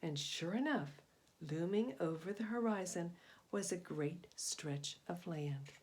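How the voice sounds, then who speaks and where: A woman reading a children's story aloud in an expressive, emphatic style, moving between hushed and booming delivery. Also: slow pace. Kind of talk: expressive scripted storybook narration.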